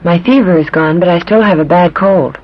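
A man reading English practice sentences aloud, clearly and carefully, on a language course tape. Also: high-pitched, brisk. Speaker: drill speaker on language tape. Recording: dull old language tape.

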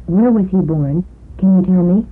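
A man reading drill sentences in a measured, clearly enunciated way for a language lesson. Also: high-pitched, narrow, tinny audio.

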